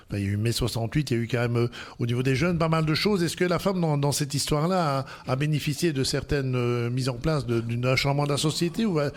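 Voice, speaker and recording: Man, radio host, friendly, chatty, mid pitch, casual interview questions. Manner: friendly, chatty, filler-heavy.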